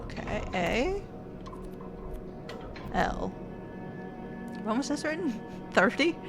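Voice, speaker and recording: A woman's voice: on the high side, very animated, very slow. Chatty woman streamer musing aloud. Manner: chatty, thinks out loud, laughs and mulls puzzles. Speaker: gaming streamer. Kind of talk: let's play commentary, reading game text aloud. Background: game music.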